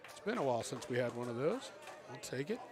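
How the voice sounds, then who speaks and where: A man giving excitable live pool commentary as a team captain, coaching the players aloud. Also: medium pitch, quick pace. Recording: loud crowd around.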